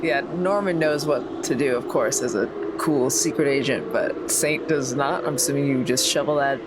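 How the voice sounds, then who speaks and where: A woman's voice: very deep voice, moderate pace, very noisy. A woman chatting casually as a player in a tabletop role-playing game.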